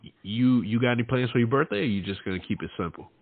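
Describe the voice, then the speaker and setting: A man's voice: mid-range voice, quick pace, no room sound, phone-quality sound. A man in his late thirties hosting a casual chat, talking informally with slang and repeating himself for emphasis. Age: late 30s. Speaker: podcast host.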